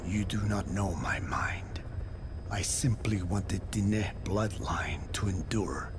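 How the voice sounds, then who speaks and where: An actor playing an anguished, defiant old male captive in a video game, grave and halting, with a strained gravelly voice. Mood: grave defiance. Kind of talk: dramatic video game voice acting. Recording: noisy cassette-tape drama with rumbling ambience.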